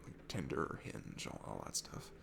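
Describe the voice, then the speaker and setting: A man playing a shy, nervous, sweet guy at a bar in an intimate ASMR roleplay, stammering and rambling apologetically in a low, gravelly, breathy voice. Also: unhurried, background noise, close to an everyday voice. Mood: self-deprecating, confiding. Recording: music behind the voice. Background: music.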